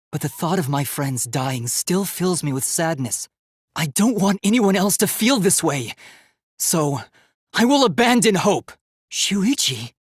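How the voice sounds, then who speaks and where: K1-B0, earnest husky-voiced young robot. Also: male voice, fairly high pitch, no echo, studio quality. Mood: solemn, resolved. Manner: earnest, formal, emphatic delivery. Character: earnest, determined young male robot, idealistic and emotional. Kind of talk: dramatic video game voice acting.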